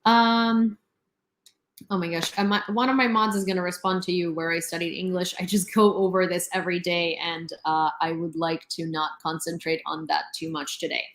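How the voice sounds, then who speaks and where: A woman's voice: lowish voice, level tone, moderate pace. A young woman hosting a live news stream, chatty and sarcastic, with many fillers. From Ukraine. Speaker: livestream news host. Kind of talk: casual live commentary. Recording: roomy echo.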